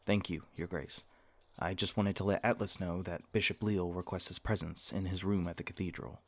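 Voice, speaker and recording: A man acts as a deferential faithkeeper in a fantasy audio drama. He has a deep voice and speaks with courteous, apologetic politeness. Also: steady tone, moderate pace. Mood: apologetic, respectful.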